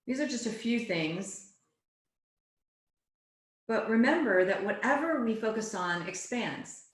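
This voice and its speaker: A woman yoga teacher guiding a relaxation and meditation class in a soothing, unhurried way, phrase by phrase. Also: a little high, animated. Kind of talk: guided relaxation and meditation instruction. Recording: echoey, roomy sound.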